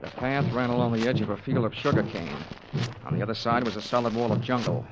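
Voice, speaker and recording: Actor as a wry hard-boiled investigator, mid-pitched. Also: male voice, steady tone, quick pace, natural voice. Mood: wry, narrating. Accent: American. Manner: wry, clipped, sardonic. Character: wisecracking, hard-boiled American insurance investigator. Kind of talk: 1940s radio acting, first-person narration. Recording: dull vintage radio recording, music behind.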